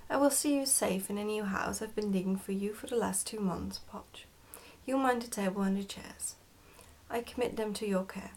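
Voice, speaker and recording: A woman's voice: medium pitch, moderate pace, a little mellow, natural voice. Woman voicing a sly, scheming goblin father.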